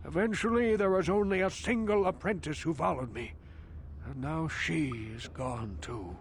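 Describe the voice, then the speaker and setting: A man's voice: a little high, normal speed, slight background noise, close to an everyday voice. A man voicing a hundred-year-old village outcast in a video game, a mystical, wary elder who speaks in formal, portentous questions. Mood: musing, sorrowful. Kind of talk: theatrical video game voice acting.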